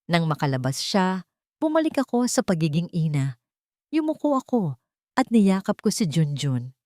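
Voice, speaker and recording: A woman's voice: fairly low pitch, sing-song, normal speed, a little mellow, dry recording, clean studio sound. A woman reading a scripted first-person love drama as a dramatic storyteller. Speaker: story narrator.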